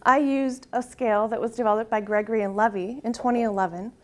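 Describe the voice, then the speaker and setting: A soft-spoken middle-aged woman presents her research to a live audience in a slightly higher voice. She leads them through an exercise and tags her points with a rhetorical "right?". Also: steady tone, fast talker. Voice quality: soft-spoken, tries to project. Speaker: conference presenter.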